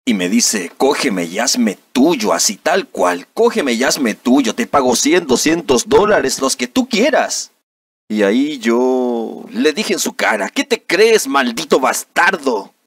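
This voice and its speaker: Man acting melodramatic wronged young man, swooping pitch. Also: sing-song, slow pace, fairly bright tone, slightly rough, no echo, distant mic, full character voice. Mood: aggrieved recounting, then indignant, dramatic. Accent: Latin American neutral dubbing Spanish. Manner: melodramatic, indignant, dubbed-film diction. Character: aggrieved young man recounting a humiliation to reporters. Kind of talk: amateur comedic dub of a news interview.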